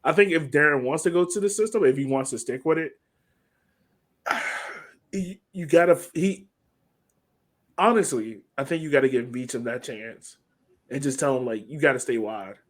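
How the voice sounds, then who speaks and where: Male podcast co-host, informal sports talk, mid-pitched voice, restates points for emphasis. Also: moderate pace, pauses often. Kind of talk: casual sports analysis chat.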